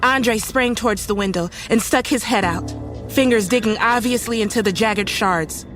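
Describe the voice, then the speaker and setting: A woman's voice: a little high, normal speed, close to an everyday voice. A woman performing suspenseful first-person horror narration as an uneasy young woman recounting a horrifying night. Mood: horrified. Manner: serious, suspenseful storytelling. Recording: music faintly under the voice.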